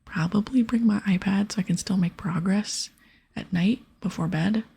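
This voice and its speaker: A woman speaking in a soft, breathy whisper close to the mic, giving gentle, casual ASMR gameplay commentary. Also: medium pitch, moderate pace. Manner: gentle, hushed, casual rambling. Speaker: ASMR gaming video creator. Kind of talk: ASMR whispered gameplay commentary with quest dialogue read aloud.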